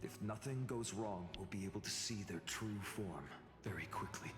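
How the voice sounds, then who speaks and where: A man acts out a scholarly male fantasy game character with a low-pitched voice. He speaks formally and with measured composure over clear game music. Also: natural voice. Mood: composed. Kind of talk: scripted game dialogue.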